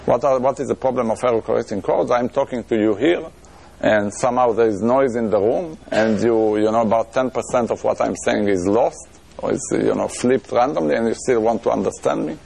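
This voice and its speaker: Gravelly lecturer with an Israeli accent. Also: male voice, medium pitch, a little fast, a little mellow, a little hiss or hum. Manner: thinking aloud with frequent restarts and fillers, occasional chuckles. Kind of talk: academic lecture on math. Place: lecture room.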